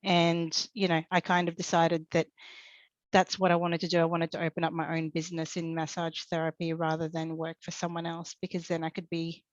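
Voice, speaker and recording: A woman's voice: on the low side, monotone, fairly quick, no echo. A woman who runs a small business, talking about her own story in a chatty way with lots of fillers. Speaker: panelist, small business owner. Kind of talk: casual personal account. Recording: dry, dull lo-fi audio.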